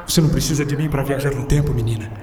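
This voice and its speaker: A man's voice: mid-range voice, rapid-fire, music in the background, natural voice. A man acting in a radio drama as an enigmatic time traveler, formal, theatrical and musing. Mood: cryptic. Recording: echoey, distant, noisy ambience behind.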